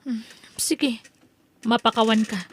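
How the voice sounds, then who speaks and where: A woman acts an aloof wife and mother in an Ilocano audio drama. Her voice is high, and her delivery stays guarded. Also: fairly even intonation, slow, a little hiss or hum. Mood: cool.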